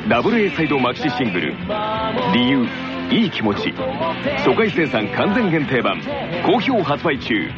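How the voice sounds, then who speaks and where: A man's voice: medium pitch, sing-song, normal speed, pauses often, muffled recording, lightly acted. An actor playing an arrogant, suave, lordly teenage boy in an anime radio promo. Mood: haughty, suave. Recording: band-limited radio recording with music behind.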